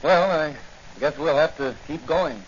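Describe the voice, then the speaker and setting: An American man playing a calm, confident young police hero in a 1940s radio drama. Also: on the high side, unhurried, breathy edge. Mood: inquisitive, polite. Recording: noisy, dull 1940s radio recording.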